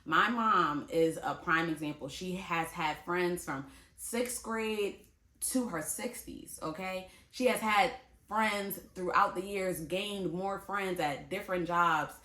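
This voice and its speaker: Chatty young woman, bright and echoey. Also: fairly low pitch, slow pace. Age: 20s. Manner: chatty, talks straight to viewers, laughs easily. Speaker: vlogger. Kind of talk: casual talk to camera. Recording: echoey room.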